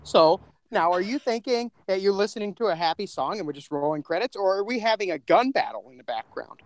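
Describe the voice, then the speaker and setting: A man's voice: high-pitched, expressive, brisk. A man hosting a podcast, talking casually and informally with a group in slangy, chatty phrasing. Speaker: podcast host and co-creator. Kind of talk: casual group chat about a horror podcast.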